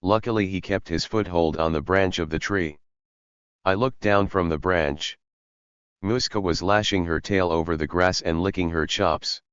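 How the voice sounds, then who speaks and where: A man narrating an audiobook in a measured, even storytelling manner. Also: sits low, clean tone, dry recording, lo-fi sound.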